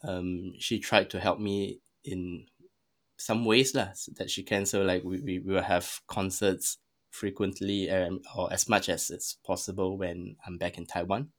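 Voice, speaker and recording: Podcast guest: young Singaporean male, on the low side, conversational, feeling his way through sentences. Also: level tone, unhurried. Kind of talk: casual conversational storytelling.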